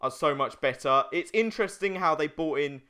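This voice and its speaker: Bright-voiced young man chatting over a game. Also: a little high, lively intonation, moderate pace, smooth. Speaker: let's play host. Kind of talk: casual chat while playing a video game.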